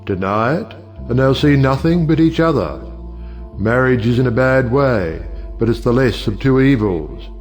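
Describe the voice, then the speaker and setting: Guy playing a witty judge uncle in an audio play, speechifying, mid-pitched, music behind. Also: animated, normal speed, a little mellow, close to an everyday voice. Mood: persuasive, confident. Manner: rhetorical, oratorical. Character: wry, eloquent, provocative uncle and judge.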